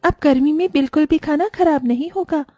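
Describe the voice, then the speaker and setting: A woman's voice: high-pitched, a little fast, dark tone, no room sound, soft background music, close to an everyday voice. A woman voicing a caring, resourceful wife in a cartoon, speaking in an earnest, concerned way. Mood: reassuring. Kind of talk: scripted cartoon dialogue.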